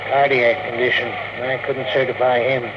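An actor playing a matter-of-fact fairground doctor in a 1950s radio drama, blunt and informal. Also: male voice, mid-range voice, flat delivery, moderate pace, lightly acted. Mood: grave. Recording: noisy old radio broadcast recording.

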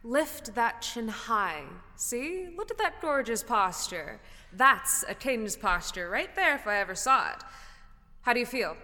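A woman's voice: fairly high pitch, very animated, measured pace, pushed and bright, in a large hall, heavily acted. A woman playing the playful, encouraging ghost of a drama-school actress, theatrical and airy as she coaches. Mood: encouraging, coaxing.

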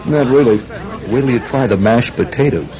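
An actor playing a dry, teasing policeman sidekick with a gravelly voice in a radio mystery. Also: mid-range voice, fairly quick, music in the background, lightly acted. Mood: deadpan. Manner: dry, droll. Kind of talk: radio mystery drama acting. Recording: dull, faintly noisy radio recording.

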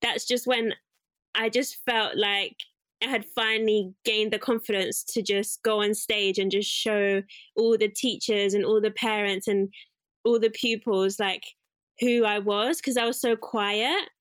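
A young woman from England talks through her story in a relaxed interview, circling back with fillers and small self-corrections. Her voice sits at a middle pitch, and the recording is close and dry. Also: unhurried, dry recording. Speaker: guest, curly hair salon owner. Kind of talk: casual interview conversation.

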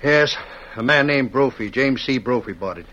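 An actor playing an earnest small-town judge and banker, cautious about money, in an old-time radio western. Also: male voice, mid-range voice, moderate pace, sharp tone. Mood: frank. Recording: muffled old radio recording.

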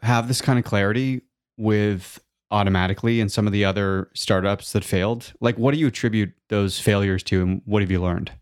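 A man's voice: fairly low pitch, fairly even intonation, brisk, clean tone, no room sound, clean studio sound. A man interviewing a startup founder, asking long, winding questions.

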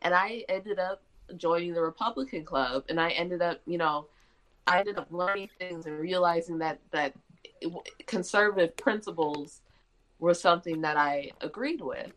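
Low-voiced young woman from Michigan. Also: normal speed, fairly bright tone, low-quality recording. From Michigan, USA. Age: 20s. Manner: chatty, frequent restarts and fillers. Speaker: guest. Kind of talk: casual conversation.

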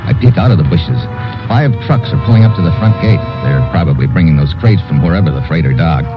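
Deep-voiced, hard-boiled American radio adventurer. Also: male voice, lively intonation, quick pace. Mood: tense, terse. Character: tough, hard-boiled American male adventurer narrating his exploits. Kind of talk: old-time radio drama acting, first-person narration. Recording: muffled vintage radio recording, loud music.

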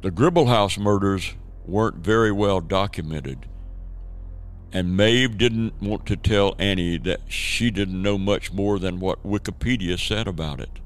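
A man narrating an audio drama in a measured storytelling way. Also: deep voice, soft background music. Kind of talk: scripted narration.

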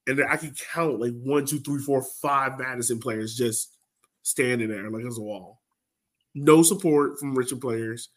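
A man's voice: medium pitch, normal speed. A man co-hosting a sports podcast, recapping a match in a colloquial, exasperated way. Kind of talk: casual post-match soccer discussion.

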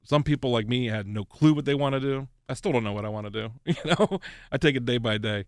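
A man hosting a radio interview in an enthusiastic, chatty way, laughing often and reacting quickly. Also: medium pitch, no echo, studio quality. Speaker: radio show host. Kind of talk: casual interview.

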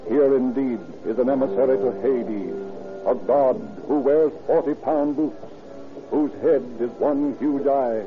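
An actor narrating a radio crime drama in a florid, ominous, theatrical style. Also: male voice, medium pitch, a little slow, close to an everyday voice. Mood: solemn, suspenseful. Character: grim poetic male narrator of a crime tale. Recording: muffled old radio recording, music behind.

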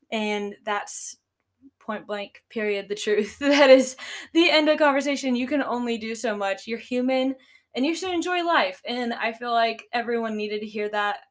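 A young woman hosting her own podcast, talking casually about running a business, with a stuffy, nasal sound and lots of "like" and "um" fillers. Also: a little high. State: congested, nasal. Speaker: podcast host, business owner. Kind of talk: casual solo podcast talk.

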